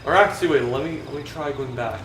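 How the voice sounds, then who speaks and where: A young man with a dry, flat-sounding voice talks in halting, rambling bits, full of restarts and fillers, while he plays a video game. His voice sits at an ordinary middle pitch. It rings in an echoey room, with game music clearly playing behind him. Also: recorded from a distance. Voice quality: dry monotone voice. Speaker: vlogger.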